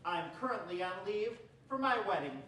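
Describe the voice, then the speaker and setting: A man's voice: sits high, lively intonation, brisk, sharp tone, a little hiss or hum. A young man playing a polite, formal army officer in an old-time radio comedy performed on stage. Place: theatre stage. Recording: echoey stage from far off, music.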